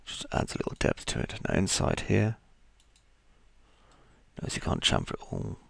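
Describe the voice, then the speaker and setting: A man with a croaky voice, casually talking through each step of a 3D modeling tutorial as he works. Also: sits low, wildly swooping pitch, moderate pace, fairly bright tone, dry recording. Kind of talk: screen-recorded software tutorial.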